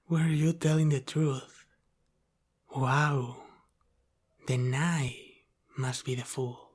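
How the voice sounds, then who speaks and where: Casting: male actor as Italian-American mob boss for ASMR roleplay. Extremely slow, even-toned, calmly menacing and teasing, often sinking to a whisper. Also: medium pitch, slightly rough. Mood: cool, suspicious. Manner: deliberate, halting, teasing, often dropping to a whisper.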